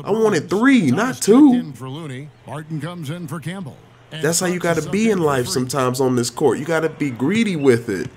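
A man giving excited, slangy commentary on his own video game play, full of playful trash talk. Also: a little high, unhurried, long pauses, a crowd in the background.

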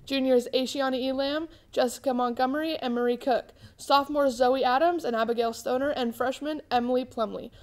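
A woman's voice: high voice, fairly even intonation, fairly quick, few pauses. A young woman co-anchoring a student morning news show in a small studio, reading announcements steadily. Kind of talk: school morning news broadcast. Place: indoor studio before green screen.

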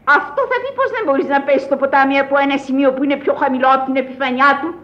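An actress playing an eccentric, imperious old madwoman in a Greek radio play, husky, with a theatrical, declamatory manner. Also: high-pitched, muffled recording, lightly acted. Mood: imperious, teasing.

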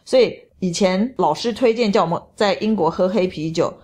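A middle-aged woman, describing food and sights in a measured, enthusiastic travel voice-over. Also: medium pitch, darkish tone. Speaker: travel vlog co-host. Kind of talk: casual travel commentary voice-over.

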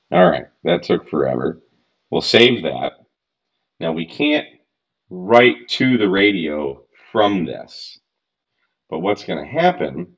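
Man, 30s, very low voice, casual hobbyist tutorial host, frank and chatty, slightly muffled desk-mic sound. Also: gravelly. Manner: chatty, frank, mildly exasperated asides. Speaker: radio gear reviewer. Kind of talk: casual screen-recorded tutorial. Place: home workbench room.

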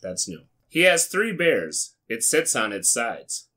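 An American man hosting a comedic read-aloud, dropping wry, sweary, deadpan asides in a casual way. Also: mid-range voice, slow, heavy background noise. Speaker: YouTube host. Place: small indoor studio with foam panels.

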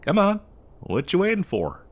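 A man's voice: high-pitched, wildly swooping pitch, normal speed, darkish tone, exaggerated voice. Guy doing a folksy old west drifter, exasperated n rambly, voice swings up and down, comedy audio roleplay. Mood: impatient, coaxing. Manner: folksy, rambling, hesitant improvised delivery. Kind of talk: improvised comedic audio roleplay addressed to the listener.

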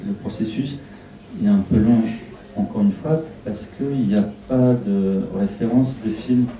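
A man's voice: on the low side, a little slow, dark tone. A middle-aged man from Argentina, whose first language is Spanish, speaking into a handheld mic at a small public event and often repeating words while he thinks. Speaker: film director. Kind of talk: public Q&A talk. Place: small shop event room indoors with audience. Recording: roomy, from a distant camera.

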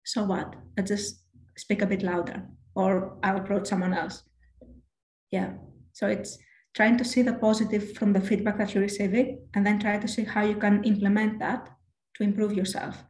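A woman in her thirties from Greece with a Greek accent and a low voice, talking quickly with many restarts as a guest on a video call interview. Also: steady tone, a little mellow, reverberant. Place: small room at home. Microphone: headset on video call.

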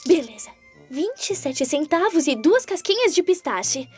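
A woman's voice: high voice, sing-song, a little slow, music in the background. A woman voicing a perky, carefree teenage girl in a cartoon fan dub. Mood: cheerful.

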